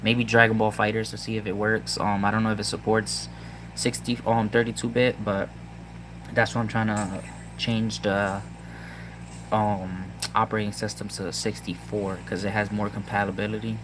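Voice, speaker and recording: A man with a Puerto Rican accent chatting casually to his viewers about a handheld gaming device, halting with lots of ums and restarts. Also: fairly low pitch, flat delivery, background noise. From Puerto Rico. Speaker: tech vlogger. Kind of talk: casual rambling tech talk to viewers.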